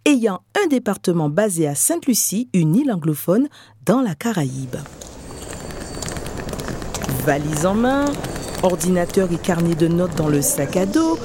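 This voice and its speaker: A middle-aged woman, a researcher, giving a measured, scripted podcast narration. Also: fairly low pitch, very animated, noisy background. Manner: measured, storytelling delivery. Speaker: researcher and lecturer.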